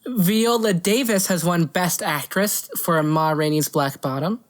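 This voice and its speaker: Giggly low-voiced woman podcast host. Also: a little slow. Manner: giggly, profane, easily amused. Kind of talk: casual banter, reading out award winners.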